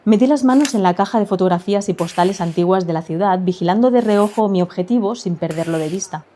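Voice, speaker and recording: A woman's voice: medium pitch, warm tone. A woman reading a first-person literary short story as a scripted narration.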